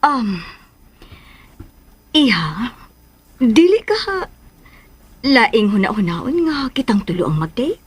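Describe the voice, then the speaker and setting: A woman's voice: on the high side, big pitch swings, slow, long pauses, a little hiss or hum. An actress with a Filipino accent playing a reserved, businesslike mother in a radio drama. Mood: reluctant. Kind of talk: Philippine radio drama acting.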